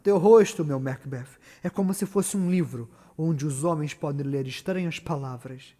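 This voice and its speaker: A scheming, ruthless noblewoman of Shakespearean theatre acted out by a man. The delivery is solemn and declamatory, pitched on the high side for a male voice. A long reverb surrounds every phrase. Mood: commanding, sinister. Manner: solemn, declamatory, swinging from hushed to loud. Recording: long reverberant hall echo.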